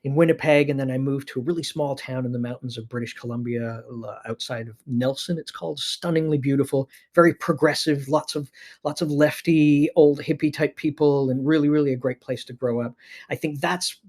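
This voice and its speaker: A man's voice: medium pitch, steady tone, fairly quick, a little mellow. A middle-aged Canadian man, an animation producer being interviewed, telling his history in a hesitant, wry, casual way with many restarts.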